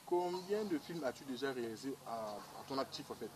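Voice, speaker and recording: A man's voice: medium pitch, animated, a little fast, crowd noise. A man with a Congolese accent, asking questions as an interviewer in a conversational style, recorded with people chattering behind him. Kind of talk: conversational interview with some Lingala mixed in.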